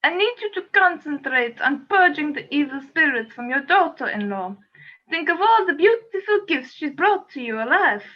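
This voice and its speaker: Lady acting pushy coaxing exorcist in a radio drama, really high voice, super animated, kinda dull audio. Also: moderate pace. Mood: insistent. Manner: insistent, coaxing, theatrical. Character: earnest ghost exorcist coaxing a stubborn spirit. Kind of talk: amateur radio play acting.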